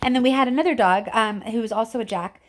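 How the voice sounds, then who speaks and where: A woman's voice: on the high side, fast talker, background noise. Woman reading aloud with friendly, informal asides. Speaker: reader addressing children. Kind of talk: read-aloud storytelling with casual chat. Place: at home. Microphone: cell phone camera.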